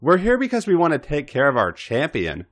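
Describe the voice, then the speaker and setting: A confident young male wrestler, put on by a man reading a story coaxes and teases. The voice is raised and very animated in pitch, always insistent. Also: a little fast.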